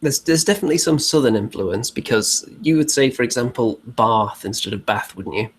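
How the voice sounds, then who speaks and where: A man's voice: medium pitch, brisk, slightly rough. A man co-hosting a hobby show in casual conversational chat.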